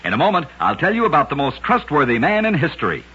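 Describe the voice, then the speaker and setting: Bright, high-pitched old-time radio storyteller man. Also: very animated, fast talker, breathy edge. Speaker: radio narrator. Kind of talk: scripted old-time radio narration of strange true tales. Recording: dull old radio recording.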